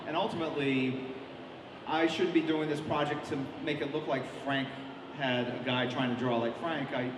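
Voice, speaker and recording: A middle-aged comic artist answering interview questions at a convention, with many ums and restarts. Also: male voice, medium pitch, steady tone, normal speed. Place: large convention hall. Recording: echoey hall, mic at a distance, crowd noise.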